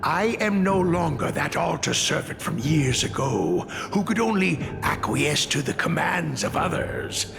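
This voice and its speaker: A man's voice: a little high, sing-song, a little slow, creaky, few pauses, heavily acted. An actor voicing a haughty, zealous male priest who speaks with stern contempt and threats, in a video game with music behind. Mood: scornful.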